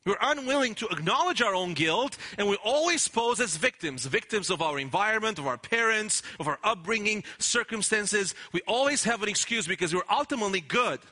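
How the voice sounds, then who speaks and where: High, bright-voiced earnest preacher. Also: male voice, expressive, brisk, few pauses. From Romania. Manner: earnest, emphatic preaching with frequent restarts. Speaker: pastor. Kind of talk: sermon.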